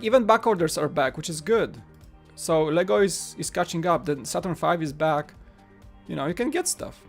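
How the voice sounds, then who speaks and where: Higher-pitched Polish man livestreaming over music. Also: vocal fry. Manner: rambling, repeats phrases for emphasis. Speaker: livestream host. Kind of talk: casual livestream chat. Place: small home studio room. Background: background music.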